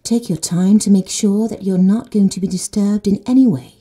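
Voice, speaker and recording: A woman leads a guided sleep meditation in a slow, soothing manner. She often drops to soft whispers. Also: mid-range voice, fairly even intonation, slightly rough. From Netherlands.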